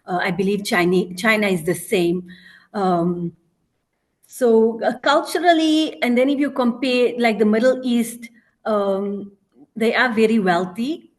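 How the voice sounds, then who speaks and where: A woman's voice: on the high side, measured pace. A South African woman, a teacher working abroad, speaking in a casual podcast interview, hesitant and full of ums and "you know".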